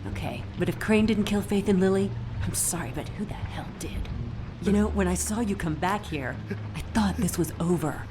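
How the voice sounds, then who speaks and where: A woman's voice: fairly low pitch, lively intonation, moderate pace, over music, close to an everyday voice. Anxious, insistent woman voiced by an actress. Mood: worried, insistent. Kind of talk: video game voice acting. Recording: clear ambient noise.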